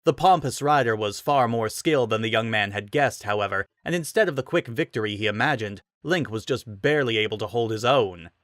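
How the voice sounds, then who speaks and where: A man's voice: fairly low pitch, fairly quick, few pauses, fairly bright tone, no room sound, studio quality. A man giving a dramatic reading of a fantasy story as narrator.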